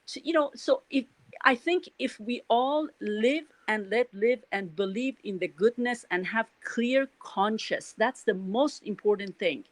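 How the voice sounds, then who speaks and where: A woman's voice: a little high, measured pace, dry recording. A middle-aged woman from Iran with a Persian accent, speaking her views in a casual, earnest way, full of restarts and "you know". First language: Farsi. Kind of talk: casual spoken reflection.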